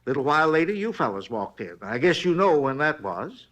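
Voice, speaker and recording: An actor playing a folksy, talkative hotel night clerk in a 1950s radio drama, rambling along in plain, ungrammatical speech. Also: male voice, on the high side, very animated, a little fast, fairly bright tone. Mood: chatty. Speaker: robbery witness.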